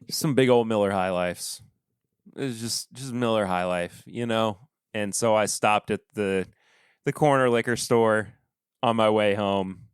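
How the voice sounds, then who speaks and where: A man with a stuffed-up nose talks slowly and loosely on a podcast, often trailing off into quiet. His voice is smooth and clean, recorded close and dry with no room sound. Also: on the low side, dry recording. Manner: relaxed, rambling, trailing off quietly. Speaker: podcast host. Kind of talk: casual solo review chat.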